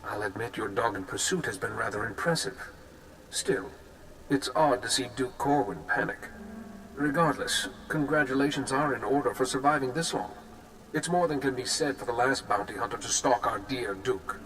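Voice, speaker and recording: An actor playing a suave, haughty nobleman of an assassin house in a video game, with a pompous, measured, coolly menacing manner. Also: mid-range voice, fairly bright tone, a little hiss or hum, natural voice, rough recording. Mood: cool, commanding. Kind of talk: scripted video game acting.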